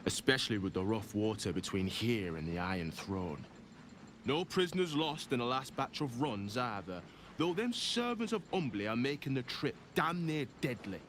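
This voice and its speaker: A man's voice: on the low side, a bit bright, a little hiss or hum. A man playing a working-class submersible pilot in a video game, with an English accent and a colloquial, grumbling manner.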